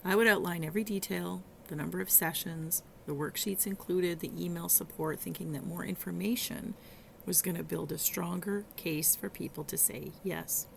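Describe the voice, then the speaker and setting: Hoarse, gravelly-voiced woman coaching listeners. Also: fairly low pitch, fairly even intonation, a little hiss or hum. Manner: warm coaching tone, conversational with some halting restarts. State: hoarse, rough voice. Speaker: podcast host and virtual assistant coach. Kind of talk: solo teaching podcast.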